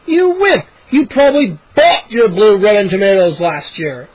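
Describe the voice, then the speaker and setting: A man voicing a furious, menacing grown neighbor who shouts and sneers. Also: sits high, lively intonation, normal speed, no room sound. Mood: accusing. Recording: dull lo-fi recording.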